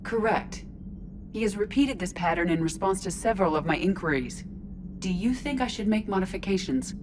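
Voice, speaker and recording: An actress playing a curious, logical artificial intelligence in a robot body in a video game, speaking formally, literally and with composure. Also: female voice, medium pitch, lively intonation, fairly quick, noisy background, natural voice. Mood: earnest, inquiring. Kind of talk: video game dialogue acting. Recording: clear ship ambience behind voice.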